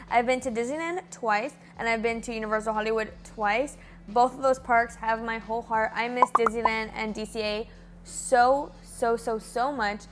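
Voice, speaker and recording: A woman's voice: fairly high pitch, fairly bright tone, a little hiss or hum. A woman in her twenties from Miami with a Miami accent, chatting casually and gushing to camera in a Q&A vlog from her room. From Miami, USA. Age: 20s. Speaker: vlogger. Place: bedroom with Disney ears on the wall.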